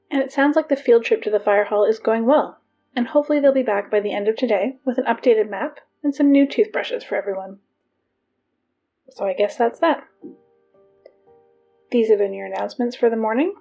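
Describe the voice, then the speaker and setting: A woman playing an earnest, officious high school girl who reads morning announcements in a measured, matter-of-fact way for an audio drama. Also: mellow, muffled recording, faint music behind. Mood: measured, businesslike.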